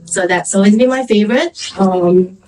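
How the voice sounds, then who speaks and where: A woman from Singapore speaking casually on a festival panel, hesitant with many pauses. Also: mid-range voice, darkish tone, recorded from a distance, low-quality recording. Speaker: author on a panel. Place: festival venue.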